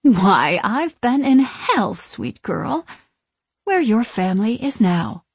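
A woman voicing a vain, scheming witch from centuries past, crooning and needling in a slow, theatrical manner for an audiobook. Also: fairly high pitch, very animated, husky, no room sound, heavily acted. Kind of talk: audiobook character voice.

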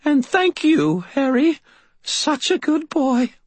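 A man's voice: very high voice, very animated, slow, no echo, pitched above the performer's own voice, heavily acted. A man voicing a quavering old landlady. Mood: fond. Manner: tearful, pleading. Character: frail elderly woman landlady, devout, fussing.

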